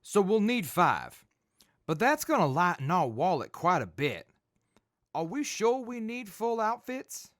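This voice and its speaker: A decisive traveler of the wasteland, acted by a man, with a fairly high, very lively voice. He sounds brisk and businesslike, recorded dry and close for an audio drama. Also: dry recording, heavily acted. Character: decisive male wasteland traveler, the group's buyer. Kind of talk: post-apocalyptic audio drama acting.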